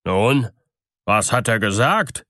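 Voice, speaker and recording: A man's voice: on the low side, expressive, slow pace, sharp tone, no echo, clean studio sound, heavily acted. Man doing a blunt, blustering, gullible miller. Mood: curious.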